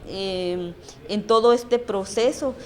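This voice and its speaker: Thoughtful young Guatemalan woman, pausing often. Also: mid-range voice, darkish tone. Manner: thoughtful, many pauses with "eh". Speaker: interviewee, activist. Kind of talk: reflective interview answer.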